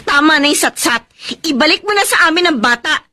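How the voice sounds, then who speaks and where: Anguished, very high-voiced protective woman. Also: lively intonation, fairly quick, sharp tone, slight background noise. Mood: alarmed, angry. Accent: Filipino. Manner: emotional, pleading, scolding. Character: anguished, indignant woman fighting to protect a child. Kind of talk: melodramatic Filipino radio drama acting.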